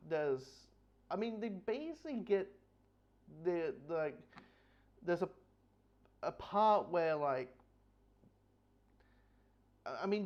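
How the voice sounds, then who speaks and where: A man giving a casual, unscripted review in a rambling, halting way, often repeating words and restarting, with dull lo-fi sound. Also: fairly high pitch, a little mellow. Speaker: book review host.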